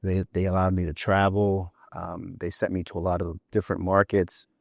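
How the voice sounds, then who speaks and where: Low-voiced man in his fifties, executive guest, chatty storyteller, dry close sound. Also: little pitch movement, normal speed, vocal fry, dry recording. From New York City. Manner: chatty anecdotes with frequent "you know" and "right?". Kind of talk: relaxed storytelling interview talk.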